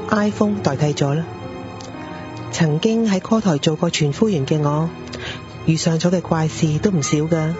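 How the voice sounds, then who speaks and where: A woman's voice: on the low side, natural voice. A woman with a Hong Kong accent playing a polite night-shift pager operator and storyteller in a radio drama. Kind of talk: scripted radio drama narration. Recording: dull lo-fi audio under music.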